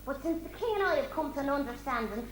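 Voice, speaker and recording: Cheeky pantomime lady played by a woman, bright and projected, echoing, slightly noisy stage. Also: high-pitched, expressive, fast talker. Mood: arch. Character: cheeky Irish lady trading gags with a king. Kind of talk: comic pantomime stage acting. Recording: echoey noisy amateur stage recording.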